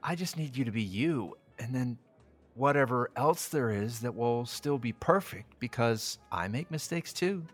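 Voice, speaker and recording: A man's voice: mid-range voice, expressive, unhurried, soft background music. An actor playing an earnest, quirky, self-doubting young man anxious about a date in an audio drama. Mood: earnest confessing.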